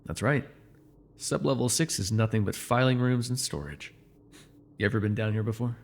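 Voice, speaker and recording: A man playing a controlling, vengeful supervillain in an immersive ASMR roleplay, taunting and giving orders in a deliberate, mocking way. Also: on the low side, animated. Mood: controlled, commanding. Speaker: voice actor.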